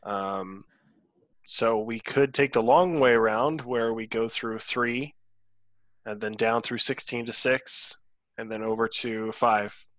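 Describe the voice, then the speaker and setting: A man's voice: medium pitch, takes it slowly, fairly bright tone, no echo. A man chatting casually as a player in a tabletop roleplaying game.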